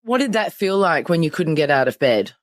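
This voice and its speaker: Female podcast host, low-pitched, supportive but probing interviewer, very dry studio-like sound. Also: sing-song, brisk, no echo. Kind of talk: conversational interview.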